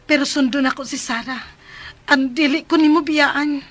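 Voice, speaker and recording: A woman's voice: sits high, fairly even intonation, normal speed, close to an everyday voice. An actress with a Cebuano Filipino accent playing a lovestruck, sulky, clingy woman in a radio drama, pouting and pleading.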